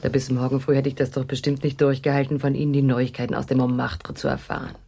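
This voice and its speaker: Low, velvety, coy woman. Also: level tone, quick pace, vocal fry, warm tone, slight background noise. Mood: teasing, flirtatious. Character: flirtatious, quick-witted woman, self-assured detective's partner and lover. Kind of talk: German crime radio play acting.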